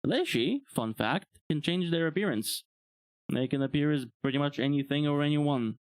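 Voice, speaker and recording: A man's voice: a little high, animated, moderate pace, no room sound. A young man from Bulgaria, a native Bulgarian speaker, chatting casually on a live stream, rambling and chuckling often. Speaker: VTuber streamer.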